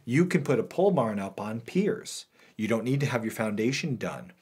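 A man in his thirties co-hosting a podcast in casual chat, animated and joking, in a small wood-panelled studio room. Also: medium pitch, moderate pace, husky. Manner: animated, joking, gestures a lot. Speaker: podcast co-host.

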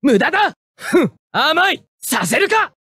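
High-pitched, hot-headed young mecha pilot. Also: male voice, sing-song, slow pace, no echo, studio quality, heavily acted. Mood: cocky. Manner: forceful, cocky shouting of short attack calls. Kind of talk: anime fighting-game battle barks.

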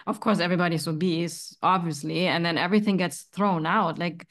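A woman's voice: fairly low pitch, steady tone, a little fast. A woman in her thirties, from Germany, hosting a friendly interview over a video call with many fillers. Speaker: podcast host. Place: home room.

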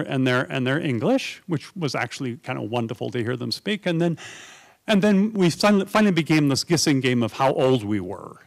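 A middle-aged man from New York, speaking at a conference panel table into a stand mic, telling anecdotes in a lively, conversational way. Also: fairly high pitch, creaky. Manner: animated anecdotal, acts out quoted voices. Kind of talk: conversational storytelling.

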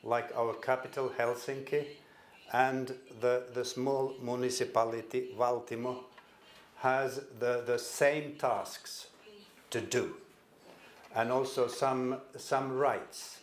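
An older man from Finland with a Finnish accent gives a conference presentation in a hall, speaking haltingly and repeating words as he restarts. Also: mid-range voice, steady tone. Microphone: stand microphone at a podium.

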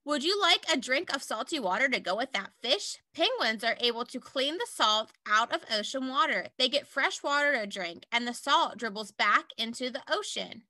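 Lady teacher doing a kids read-aloud, high bright voice, explains stuff simply as she goes. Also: normal speed, hardly any pauses, dry recording. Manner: animated, simple explaining, addressing young listeners. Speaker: teacher reading a picture book aloud to young children.

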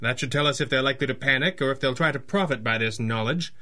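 A commanding masked crimefighter from a 1930s radio serial, played by a man. His mid-pitched voice is bright and forceful, and he speaks formally and deliberately with theatrical authority. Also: natural voice. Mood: brisk, measured. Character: masked 1930s male vigilante hero, commanding and shrewd. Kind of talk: 1930s radio drama acting.

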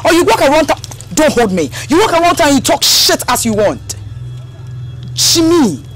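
An actress with a Ghanaian accent playing a feisty, outspoken friend of a blackmail victim in a screen melodrama. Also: female voice, sits high, big pitch swings, normal speed, soft background music. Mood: indignant. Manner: outspoken, scolding, emphatic. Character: feisty, indignant woman defending her blackmailed friend.

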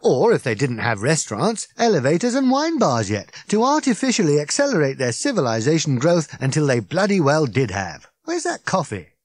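A man voicing an excitable, fierce, rambling alien man who rants loudly and indignantly. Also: on the high side, moderate pace, few pauses, no echo, studio quality, pitched above the performer's own voice. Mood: ranting. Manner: loud, indignant ranting.

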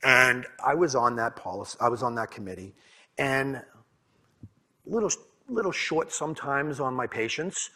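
A man's voice: medium pitch, expressive, normal speed, pushed and bright. Boston-accented man giving a conference talk. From New England. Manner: candid storytelling, repeats words and restarts. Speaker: conference speaker. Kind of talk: conference presentation. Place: conference room.

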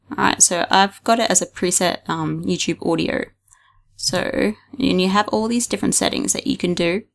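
A young woman hosting a casual screen-recorded software tutorial, talking hesitantly with frequent ums and restarts into a desktop mic. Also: lowish voice, creaky. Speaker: video tutorial host.

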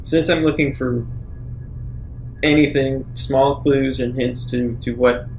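A man speaks as a player in a tabletop roleplaying game. His mid-pitched voice is full of creak, and his delivery is casual. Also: steady tone, slow, darkish tone, faint music behind, rough recording. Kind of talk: casual in-game talk and questions.

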